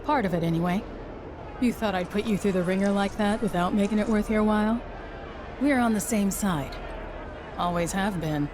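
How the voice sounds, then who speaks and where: An actress playing a shrewd, unapologetic town fixer in a video game, speaking matter-of-factly in clipped phrases. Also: female voice, medium pitch, normal speed, pauses often, noisy background, lightly acted. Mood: calm, frank. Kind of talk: video game cutscene acting.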